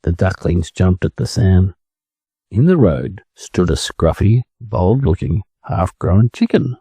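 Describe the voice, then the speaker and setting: A man's voice: low voice, lively intonation, slow pace, mellow, breathy edge, no room sound, studio quality. A man with an Australian accent reading a children's story aloud as a scripted narration for English learners.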